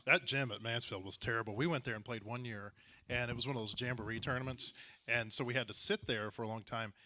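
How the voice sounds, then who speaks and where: A man's voice: medium pitch, steady tone, fast talker, bright, forceful tone. A man hosting a casual interview, informal and full of anecdotes. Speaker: host and sports journalist interviewing a guest.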